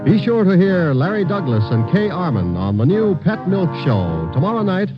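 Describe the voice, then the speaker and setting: A muffled old radio broadcast with loud music behind an American man. The network announcer promotes programs in a fairly high, animated voice. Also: normal speed.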